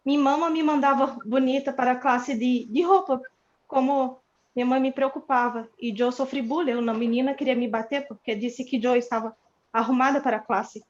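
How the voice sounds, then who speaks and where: Lady telling her story slow and earnest, high voice, muffled sound. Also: fairly even intonation. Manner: slow, earnest, mixing Portuguese and Spanish. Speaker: church member sharing a story. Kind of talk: personal testimony.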